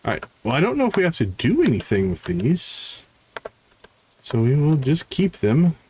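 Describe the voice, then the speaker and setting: A man giving casual live video game commentary, chatting and thinking out loud. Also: a little high, wildly swooping pitch, normal speed, a little hiss or hum. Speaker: gaming streamer.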